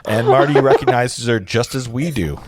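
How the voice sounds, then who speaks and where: A man's voice: medium pitch, normal speed, crowd noise. A man in his forties reading stage directions aloud informally, tossing in his own asides. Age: 40s. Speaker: narrator of a script read-through.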